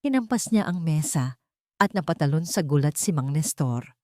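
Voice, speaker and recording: A woman narrates a scripted drama with a fairly low voice. Her delivery is dramatic storytelling, recorded close and very dry. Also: expressive, moderate pace, dark tone, dry recording.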